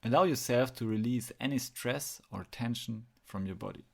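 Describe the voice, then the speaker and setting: Guy doing guided meditation narration, gravelly fry in his voice, measured phrases w pauses. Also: medium pitch, darkish tone.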